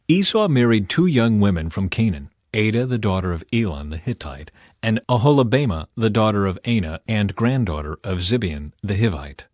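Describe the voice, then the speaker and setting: Guy reading bible audiobook, lowish voice, dry close recording, measured and solemn. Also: no echo. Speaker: audiobook narrator. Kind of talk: scripted scripture narration.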